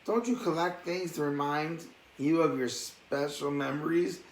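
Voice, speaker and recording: A man's voice: on the high side, a little slow. A man reading a bedtime story aloud, dropping in casual asides as he goes. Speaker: storybook reader. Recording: echoey room with faint background noise.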